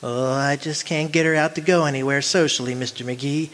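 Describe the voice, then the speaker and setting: A man playing a meek, henpecked little husband, timid and prim with drawn-out words, in old-time radio comedy style. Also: a little high, steady tone, a bit bright, close to an everyday voice. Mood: wistful, chuckling.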